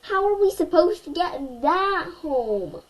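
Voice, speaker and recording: A woman's voice: extremely high pitch, very animated, slow pace, voice pushed up, full character voice, low-quality recording. A woman voicing an exasperated, worried mother in a children's storybook, fretful and protesting. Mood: alarmed.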